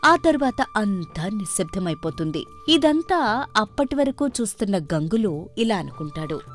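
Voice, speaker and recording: A woman narrating a scripted story in an expressive storytelling manner. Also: medium pitch, moderate pace, soft background music.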